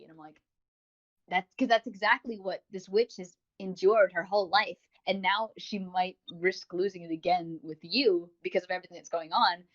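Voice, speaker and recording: A woman co-hosting a podcast in a casual review chat, rambling along with enthusiasm. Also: lowish voice. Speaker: podcast co-host. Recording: dull lo-fi audio.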